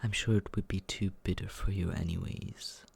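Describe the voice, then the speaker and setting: A young man voicing a smug young man who teases and quietly looks after the listener, in a close, soft, whispered roleplay. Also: low-pitched, steady tone, moderate pace, creaky, husky, full character voice. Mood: teasing. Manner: teasing, condescending, soft whispering. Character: smug teasing young man, mockingly caring. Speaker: ASMR roleplay performer.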